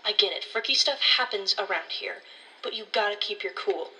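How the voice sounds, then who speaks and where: A woman's voice: mid-range voice, fairly quick, pushed and bright, recorded from a distance, poor audio. A woman playing a blunt, condescending ranger colleague in an audio drama, talking in a world-weary, slangy way. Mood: stern, dismissive.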